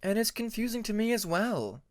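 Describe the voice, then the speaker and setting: A man voicing a young female dragon who explains things calmly and patiently in a measured, earnest way. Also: high-pitched, voice pushed up. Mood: gentle.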